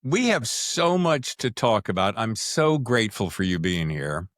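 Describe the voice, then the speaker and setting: A middle-aged American man speaks as a podcast host. His delivery swings widely in pitch, with a playful, theatrical streak as he moves between introductions and chat. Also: very animated, unhurried, fairly bright tone. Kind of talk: show introduction and interview chat.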